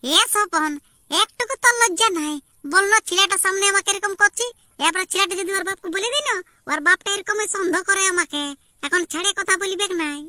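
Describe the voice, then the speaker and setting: A woman's voice: very high voice, a little fast, pushed and bright, no echo, studio quality, full character voice. A woman voicing a harried village wife and mother in a comic cartoon, complaining in a rural Purulia Bengali accent. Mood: protesting. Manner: complaining, protesting. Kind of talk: amateur comic cartoon dialogue.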